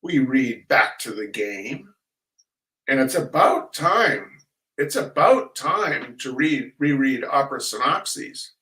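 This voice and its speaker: An older man hosting a reading of humorous essays, chatting casually and reading aloud in a wry, theatrical, halting manner. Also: mid-range voice, expressive, sharp tone. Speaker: host of a weekly humor reading. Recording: roomy echo, distant microphone.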